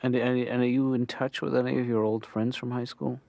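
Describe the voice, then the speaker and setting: A man doing a cartoon therapist, calm, low-key, mid-pitched, dry sound. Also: brisk, creaky, no echo, close to an everyday voice.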